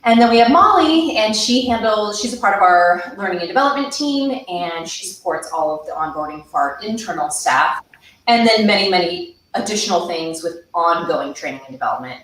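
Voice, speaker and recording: A woman's voice: medium pitch, a bit bright. A woman hosting a video webinar, upbeat and chatty, with many ums. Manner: upbeat, filler-heavy. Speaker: webinar host. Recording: echoey room, distant mic.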